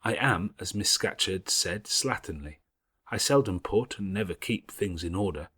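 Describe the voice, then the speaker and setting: A man voicing a patient, devout, bookish older schoolgirl in an audiobook, speaking in a measured, earnest, moralising way. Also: low-pitched, sharp tone, slightly rough, no echo, clean studio sound, heavily acted. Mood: grave, self-reproachful. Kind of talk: audiobook character voice.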